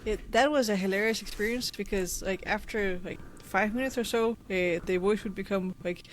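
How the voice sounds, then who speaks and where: A woman's voice: medium pitch, normal speed. Hesitant woman co-host, faint noise. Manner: hesitant, with many fillers. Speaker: podcast co-host. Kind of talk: casual podcast interview.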